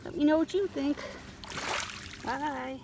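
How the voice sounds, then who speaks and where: A woman's voice: high voice, takes it slowly, noisy background. A woman vlogging outdoors on a lakeshore beach, musing aloud to her viewers as she looks for rocks on a handheld phone. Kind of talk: casual narration while rockhounding.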